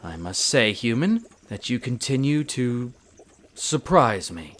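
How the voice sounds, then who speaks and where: A man's voice: medium pitch, very animated, a bit bright. A man playing a proud, prickly winter elf archaeologist who talks formally and haughtily in a scripted audio roleplay addressed to the listener. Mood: grudgingly impressed. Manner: haughty, formal, exasperated, theatrical pauses.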